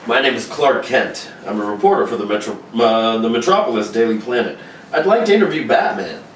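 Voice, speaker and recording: Man acting mild-mannered reporter, earnest, concerned, animated intonation, radio drama, recorded off-mic. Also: medium pitch, a little fast, natural voice. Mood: polite. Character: mild-mannered male reporter Clark Kent, concerned and firm. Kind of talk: 1940s radio serial read-through. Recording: echoey room, distant mic, clear noise.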